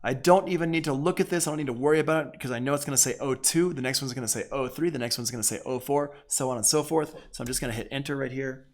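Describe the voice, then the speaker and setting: A man's voice: mid-range voice, quick pace, few pauses. A man teaching music production in a casual, informal tutorial, thinking aloud as he works. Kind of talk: casual screen-share software tutorial.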